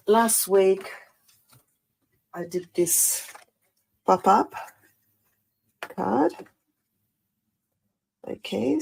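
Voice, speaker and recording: A woman with a croaky voice after the flu, chatting through a live card-making tutorial with many fillers and apologies. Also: lowish voice, a little mellow. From Australia. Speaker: craft demonstrator.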